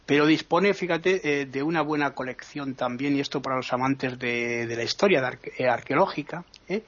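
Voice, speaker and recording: A man with a Spain Spanish accent and an average-pitched voice presents a casual travel guide on the radio. He rambles and hesitates, often tagging on little questions for agreement. The audio is dull and lo-fi. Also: brisk, a bit bright.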